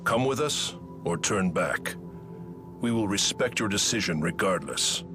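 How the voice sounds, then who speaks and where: A man voicing a wise, stoic elemental spirit in a video game, grave, formal and measured. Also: low voice, animated, over music. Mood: solemn, kind.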